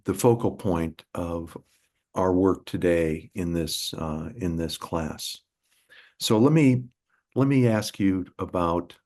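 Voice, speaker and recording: Slow, deep-voiced male professor hosting. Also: a little mellow. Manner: halting, repeats words while forming questions. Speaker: professor and webinar host. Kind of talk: moderating a webinar.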